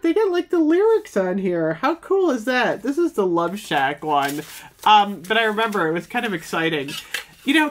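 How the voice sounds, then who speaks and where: A woman in her fifties talks casually to a desk camera in a small home room. Her pitch sits in the middle for a woman. She rambles warmly, exclaiming and pausing with fillers as she goes. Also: a little hiss or hum. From United States. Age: 50s. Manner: chatty and nostalgic, many "oh my gosh" and "um". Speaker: vlogger.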